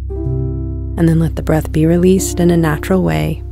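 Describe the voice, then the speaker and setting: A woman guiding a meditation in a calm, soothing, measured delivery, letting her phrases end softly. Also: deep voice, vocal fry, over music. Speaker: meditation guide. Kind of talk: guided meditation narration.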